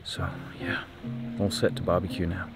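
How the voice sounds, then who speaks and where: A man casually narrating a sailing vlog from a boat, calmly explaining each step as he goes. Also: low voice, moderate pace, loud music behind the voice. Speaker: sailing vlogger. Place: outdoors on a boat. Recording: outdoor noise from wind and engine.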